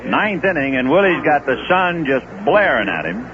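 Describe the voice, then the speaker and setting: Lively baseball announcer on old radio. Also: male voice, a little high, normal speed. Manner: relaxed, conversational play-by-play with asides. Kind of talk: live baseball radio commentary. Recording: dull old radio broadcast with noise.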